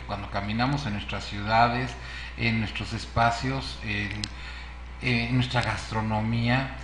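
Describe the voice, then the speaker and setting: A man's voice: medium pitch, fairly bright tone, reverberant, slight background noise. A man from Yucatán with a Mexican accent, hosting and reflecting on art while he searches for words. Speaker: sociologist, sculptor and interviewer. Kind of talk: interview talk.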